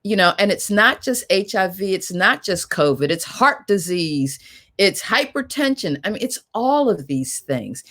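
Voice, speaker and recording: A woman's voice: medium pitch, sing-song, unhurried, fairly bright tone. A woman moderating a panel in a conversational, emphatic, exhorting way.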